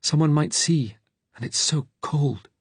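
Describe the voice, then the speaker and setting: Man acting a frightened fugitive, high and trembling. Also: unhurried, husky, dry recording. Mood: desperate pleading. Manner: pleading, shaken.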